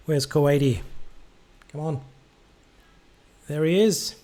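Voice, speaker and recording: A man's voice: on the high side, slow pace, warm tone. A man streaming as an air traffic controller, giving clipped procedural commands mixed with casual muttered asides.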